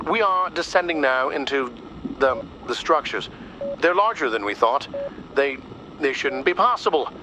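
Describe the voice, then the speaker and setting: A frightened male explorer acted by a man in a horror radio play. His voice is fairly high and jumps around in pitch as he stammers haltingly through clear background noise. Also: lively intonation, natural voice. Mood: uneasy awe. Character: terrified male explorer descending into monstrous ruins. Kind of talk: radio drama acting. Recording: noisy transmission, watery vehicle ambience.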